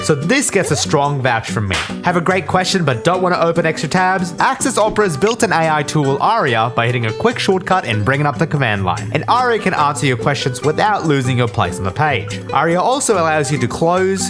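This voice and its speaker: A young man telling scripted personal stories as a YouTube storyteller, expressive and self-deprecating. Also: fairly high pitch. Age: young adult. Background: background music.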